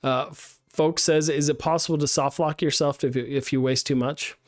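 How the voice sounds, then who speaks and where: A game design instructor reviewing a student level on a live stream, thinking aloud with many fillers and restarts while his voice is getting hoarse. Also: on the high side, fairly even intonation, normal speed, dry recording, studio quality. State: losing his voice. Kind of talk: live-streamed playtest feedback.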